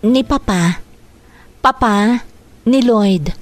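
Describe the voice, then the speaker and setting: A woman's voice: fairly high pitch, drawn-out words, faint music behind. A woman playing an earnest, protective young daughter in a radio drama, talking in a pleading manner. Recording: faintly noisy radio drama track.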